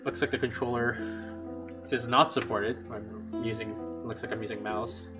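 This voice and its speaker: A man's voice: medium pitch, steady tone, normal speed. A man streaming a game, thinking aloud in a chatty way with many restarts. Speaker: gameplay streamer. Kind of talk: casual let's play commentary. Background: game music.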